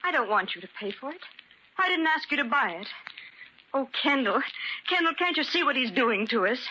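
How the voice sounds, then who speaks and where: A high, distraught young wife. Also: female voice, big pitch swings, pushed and bright, highs cut off, exaggerated voice. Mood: desperate pleading. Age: young adult. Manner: emotional, halting, pleading. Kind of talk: vintage radio drama acting. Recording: crackly band-limited old radio recording.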